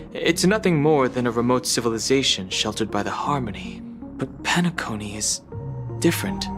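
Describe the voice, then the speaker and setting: A man acts as a reserved, analytical young hero in a video game. His mid-pitched delivery is serious and level-headed. A soundtrack plays clearly behind him. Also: natural voice. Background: game soundtrack music.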